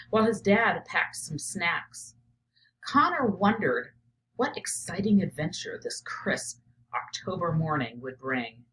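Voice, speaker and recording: A woman's voice: medium pitch, poor audio. A middle-aged woman who teaches, reading a children's story aloud and reflecting on it in a thoughtful, think-aloud way. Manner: reflective, thinking out loud, often drops to a murmur while noting ideas. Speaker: teacher, professor.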